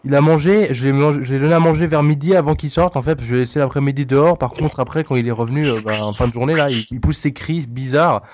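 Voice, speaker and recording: A man's voice: medium pitch, fast, hardly any pauses, darkish tone, lightly acted. Man playing an anxious, stammering cat owner, noisy line. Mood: worried. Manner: hesitant, stammering, repeats himself. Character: anxious, bumbling cat owner phoning the vet for help. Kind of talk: prank phone call. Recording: noisy phone prank recording.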